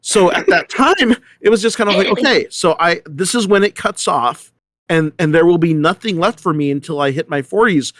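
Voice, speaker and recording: A man's voice: high voice, moderate pace, fairly bright tone, no echo. A middle-aged man, an actor and director, speaking casually in a panel discussion.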